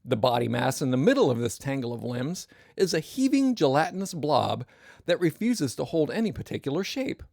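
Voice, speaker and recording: A performer playing a pompous, weary professional narrator, delivering wordy, deadpan comic narration with disdain. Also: mid-range voice, brisk, creaky, no room sound, clean studio sound. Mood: dry disdain.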